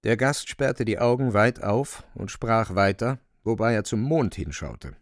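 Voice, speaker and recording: Man, creaky gravelly voice, literary narration, radio drama, dry studio sound. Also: on the low side, animated, unhurried, no room sound.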